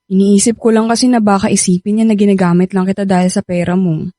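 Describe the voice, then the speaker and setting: A woman's voice: medium pitch, flat delivery, fast, mellow, no room sound, studio quality, close to an everyday voice. A woman playing an anxious, hesitant young wife of an older man, with a Filipino accent, in a radio drama. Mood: anxious, unsure. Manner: hesitant, stammering when uneasy.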